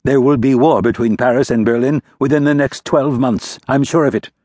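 A man playing a mocking high-voiced prince. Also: fairly even intonation, moderate pace, warm tone, dry recording, studio quality, natural voice. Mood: lofty. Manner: mocking, careless, lofty. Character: scheming male ambassador prince, about forty, mocking.

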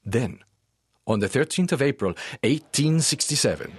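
An actor playing a French professor of natural history, narrating a dramatized audiobook in a formal, measured way. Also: male voice, medium pitch, lively intonation, natural voice. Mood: measured, intrigued.

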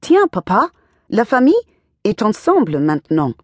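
A woman's voice: mid-range voice, huge pitch swings, normal speed, no echo, clean studio sound, pitched above the performer's own voice. A woman voicing an eager nine-year-old girl who practices French with careful, choppy, musical phrasing in an audiobook. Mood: eager, proud.

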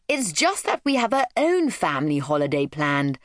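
A woman voicing a gentle professor father in a children's story, earnest and explanatory. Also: a little high, big pitch swings, normal speed, no room sound, studio quality, voice pushed up. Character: gentle, scholarly professor father lecturing his daughter.

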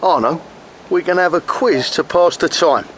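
An actor playing a creepy, sulky, rather dim demon with a gravelly voice in a radio drama. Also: male voice, on the high side, moderate pace, fairly bright tone, slight background noise, lightly acted.

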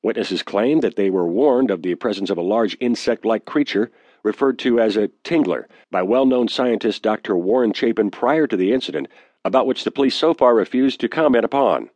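An actor playing a grave, formal news reporter reading a scripted bulletin in a radio drama. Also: male voice, fairly low pitch, a little fast, darkish tone, no room sound, natural voice.

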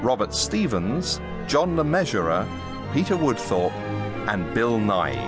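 A man's voice: lowish voice, big pitch swings, a little slow. A man reading scripted titles and credits as the announcer of a radio drama. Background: loud music.

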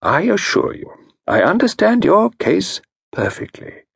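A man voicing a calm, self-assured middle-aged consultant who speaks in a measured, soothing way. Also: on the high side, vocal fry, slightly rough, dry recording, clean studio sound, pitched above the performer's own voice, exaggerated voice.

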